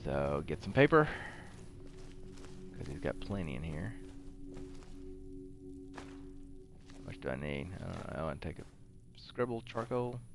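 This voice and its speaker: A man's voice: rumbling low, sing-song, unhurried, vocal fry, long pauses, over music. A man giving casual let's-play commentary as a game streamer, muttering offhand to himself.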